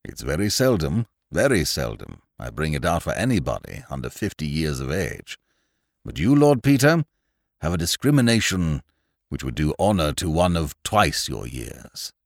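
A man's voice: rumbling low, very animated, moderate pace, gravelly, no echo, clean studio sound, heavily acted. A man voicing a courtly, old-fashioned elderly English solicitor in an audiobook, mild and genial, with an English accent and a habit of repeating his phrases. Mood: courtly, proud. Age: older. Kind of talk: audiobook character voice.

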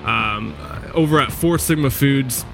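A man's voice: mid-range voice, measured pace, gravelly, a bit bright, faint music behind. A man hosting a podcast interview in a casual, easygoing way, with plenty of fillers. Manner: easygoing, loose, many fillers. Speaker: podcast host. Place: lives in the US Pacific Northwest.

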